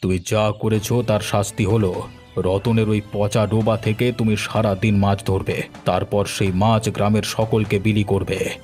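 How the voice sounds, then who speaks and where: A man's voice: fairly low pitch, flat delivery, unhurried, darkish tone, soft background music, natural voice. Stern village judge, acted by a man. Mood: stern, threatening. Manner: stern, admonishing. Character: stern, commanding village judge, an authoritative gentleman. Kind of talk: cartoon voice acting in Bengali.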